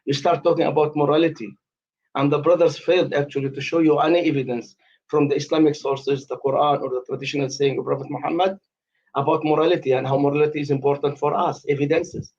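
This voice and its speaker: A man's voice: a little high, fairly even intonation, fast, darkish tone, muffled recording. A man in his late fifties from the West Bank, calling into a live debate aftershow and talking in an insistent, formal way. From West Bank, Palestine. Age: 50s. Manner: insistent, formal phrasing, repeats himself. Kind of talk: heated live discussion. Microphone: online call.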